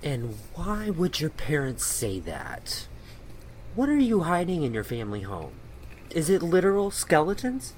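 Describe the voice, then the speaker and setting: A snide, confrontational muckraking blogger played by a man in an audio drama, with a highish, very lively voice. Each line is pointed and mocking, pressed like an interrogation. Street noise is clearly audible behind. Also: measured pace. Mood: needling.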